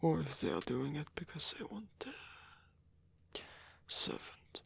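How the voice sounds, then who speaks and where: A man playing a lonely, gentle old vampire, murmuring haltingly and breathily in an intimate ASMR roleplay. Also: fairly high pitch, sing-song, no room sound, heavily acted. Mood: earnest, reflective. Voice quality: breathy, whispery.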